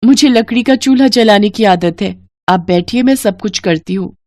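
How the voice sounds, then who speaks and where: A woman voicing a gentle young village wife in a storybook tale, soft, respectful and reassuring. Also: mid-range voice, moderate pace, no echo, clean studio sound, lightly acted. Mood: soft reassurance.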